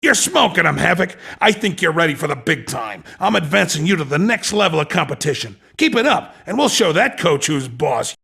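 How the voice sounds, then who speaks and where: Underground race recruiter, video game villainous hustler, cocky, insinuating, husky, very lively swoops in pitch. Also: male voice, a little fast, hardly any pauses, full character voice. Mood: excited approval. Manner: cocky, insinuating salesman patter. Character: slick, pushy underground hoverboard race recruiter, male hustler. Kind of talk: video game character dialogue.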